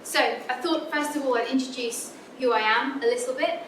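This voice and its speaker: A woman's voice: moderate pace, a bit bright. A young adult woman with a southern English accent, an academic giving a friendly careers talk to students, with lots of ums and restarts. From Scotland. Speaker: guest speaker, academic physicist. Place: lecture room. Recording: echoey lecture room from far off, background rumble.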